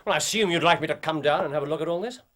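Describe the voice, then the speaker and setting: An actor playing a sharp, questioning male detective in a radio drama, dry and thinking aloud. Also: fairly high pitch, racing, natural voice. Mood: probing, dry. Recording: audible background noise.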